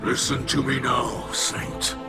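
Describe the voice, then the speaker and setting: Guy doing a proud tired alien elder, raspy and breathy, really slow n solemn, game dialogue w loud music. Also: medium pitch, little pitch movement, lightly acted. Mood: somber, storytelling. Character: weary, proud male alien refugee leader, grave and wounded. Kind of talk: video game dramatic acting.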